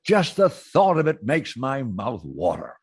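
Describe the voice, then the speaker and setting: An actor playing a genteel, courteous boss in an old-time radio comedy, speaking politely and a little hesitantly. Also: male voice, mid-range voice, huge pitch swings, measured pace, creaky, husky, exaggerated voice. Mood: hesitant, pleased.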